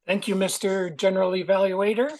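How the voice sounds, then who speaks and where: A man's voice: high-pitched, little pitch movement, fairly quick, low-quality recording. A man, giving a musing, digressive grammarian's report at a speaking club. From Canada. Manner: musing, digressive, friendly explainer.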